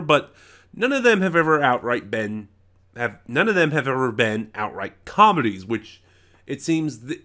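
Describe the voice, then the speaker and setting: A man's voice: medium pitch, fairly bright tone. Casual American man giving a rambling review. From United States. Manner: conversational, rambling with self-corrections. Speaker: audio drama reviewer. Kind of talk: casual unscripted review commentary.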